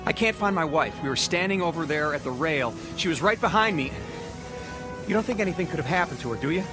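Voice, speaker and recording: An actor playing an irritable, highly strung husband in a radio drama, agitated in his way of talking. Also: animated, quick pace, music in the background, natural voice. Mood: anxious. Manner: agitated, complaining.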